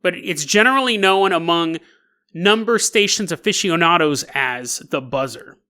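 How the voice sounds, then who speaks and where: A man hosting a solo podcast, telling stories in a casual, jokey, animated way. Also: a little high, normal speed, smooth. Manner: animated, jokey, laughs at own asides. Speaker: podcast host. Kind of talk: casual comedic storytelling, solo podcast narration.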